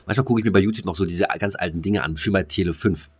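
A man's voice: low-pitched, racing, darkish tone, slightly rough. A middle-aged man with a German accent chatting casually as a podcast co-host, blunt and slangy, teasing his partner. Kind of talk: casual, bantering chat.

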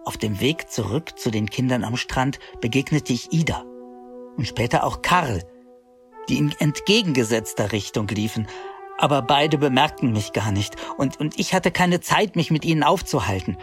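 Earnest narrator in a radio drama. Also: male voice, medium pitch, animated, normal speed, faint music behind. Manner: earnest, humble storytelling. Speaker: narrator, a boy recounting his journey.

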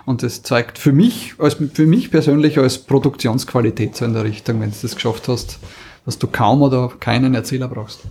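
A man co-hosting a podcast, talking casually in a halting way with fillers. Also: medium pitch, steady tone, vocal fry, darkish tone, slight background noise. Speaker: podcast co-host. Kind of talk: casual chat.

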